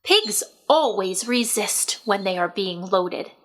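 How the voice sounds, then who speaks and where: A woman's voice: medium pitch, expressive, slow, a bit bright. A woman voicing a shrewd, bossy old sheep who gives short, commanding advice in a storybook. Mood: urgent, conspiratorial. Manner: commanding, clipped instructions in short phrases.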